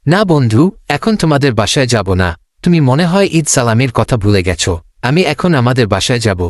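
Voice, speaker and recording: A man voicing a friendly, money-minded young boy in a children's cartoon, speaking in an animated way. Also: medium pitch, fairly quick, no echo, studio quality, close to an everyday voice. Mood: earnest.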